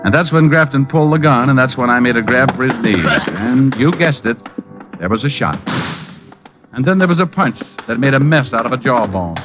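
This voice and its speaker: A man's voice: medium pitch, animated, a little fast, over music, lightly acted. Wry gumshoe narrator played by a man, vintage radio drama, dulled sound, musical backing. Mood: wry, brisk. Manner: wry, self-mocking. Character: wisecracking male private detective narrating his own case. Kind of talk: 1940s radio detective acting. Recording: muffled old radio broadcast, musical backing.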